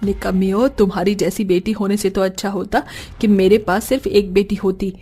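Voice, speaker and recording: A woman's voice: mid-range voice, level tone, moderate pace, dark tone, breathy edge, soft background music, voice pushed down, lightly acted. A woman voicing a scolding, threatening, exasperated elderly mother in a cartoon. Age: older.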